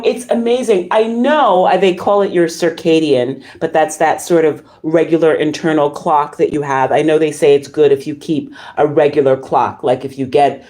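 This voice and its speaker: Woman livestream host, dark relaxed tone, a little hoarse, chatting haltingly and effusively with viewers. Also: low-pitched. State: slightly hoarse. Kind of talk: casual livestream chat, reading viewer comments.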